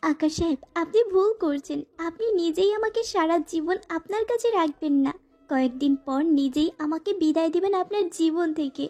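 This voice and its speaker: Woman acting a high-voiced, plaintive young bride. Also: fairly quick, warm tone, soft background music. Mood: sorrowful, resigned. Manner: plaintive, pleading. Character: frightened, pleading young student forced into marriage. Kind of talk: dramatized first-person romantic story narration.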